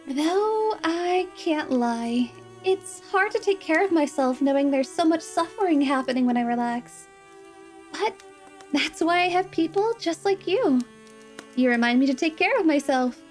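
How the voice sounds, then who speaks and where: A woman playing an earnest, idealistic, excitable young female adventurer in a fantasy roleplay, with a slightly nasal voice. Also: high-pitched, a little slow, soft background music. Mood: warm, confiding. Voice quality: nasal from a cold. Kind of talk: roleplay audio drama, cute.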